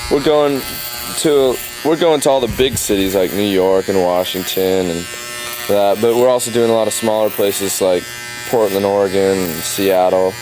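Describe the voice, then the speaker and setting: A man organizing a tour, talking in a relaxed, matter-of-fact way in a casual documentary interview, hedging with fillers. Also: fairly low pitch, moderate pace, background noise.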